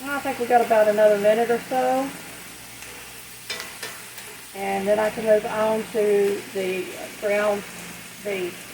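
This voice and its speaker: A woman chatting casually and in a folksy, unhurried way as she demonstrates home cooking. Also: medium pitch, steady tone. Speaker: home cook hosting a recipe video. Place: home kitchen at the stove. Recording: clear background kitchen noise, frying sizzle. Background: sizzling skillet, stirring.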